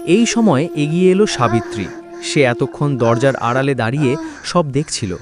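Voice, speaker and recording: A man reads a scripted horror story with dramatic delivery. His mid-pitched voice moves expressively up and down. Prominent music plays behind him. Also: expressive, normal speed. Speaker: narrator. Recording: loud background music.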